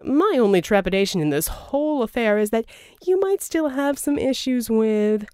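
A woman's voice: on the high side, lively intonation, normal speed, a little mellow, clear, resonant tone, no echo, studio quality, natural voice. Woman performing a flamboyant scheming male villain, wildly swooping. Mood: smooth, cajoling. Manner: theatrical, wheedling, pompous. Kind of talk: audiobook character dialogue.

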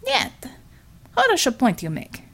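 A woman voicing a proud, sharp-tempered Russian communist commissioner in an audiobook, with a Russian accent and blunt, clipped broken English. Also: high voice, wildly swooping pitch, measured pace, creaky, breathy edge, voice pushed up, heavily acted. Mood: conceding.

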